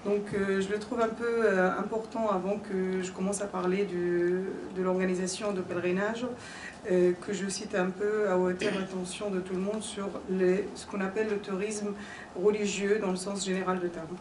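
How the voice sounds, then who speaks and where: A woman's voice: medium pitch, flat delivery. A young woman giving a formal conference talk in French, which is not her first language, speaking hesitantly with frequent fillers and restarts. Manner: hesitant, many pauses and fillers. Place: conference hall. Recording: echoey hall, distant mic, background noise.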